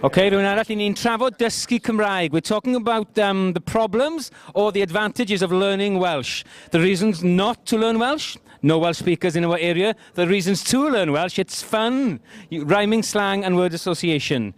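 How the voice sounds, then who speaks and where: A man's voice: high-pitched, normal speed, few pauses, no room sound. A man hosting a bilingual street conversation outdoors, laughing often and switching between two languages. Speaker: host.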